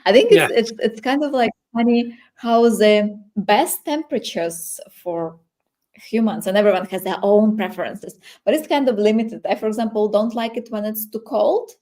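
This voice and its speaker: A woman with a Ukrainian accent chatting casually as she hosts a live technical workshop, feeling her way through sentences in halting phrases. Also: mid-range voice, darkish tone. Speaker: workshop host.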